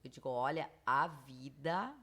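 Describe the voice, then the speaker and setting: A woman with a Spanish accent, a teacher talking in a measured, explanatory way on a school podcast, with many fillers. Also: a bass voice, sharp tone.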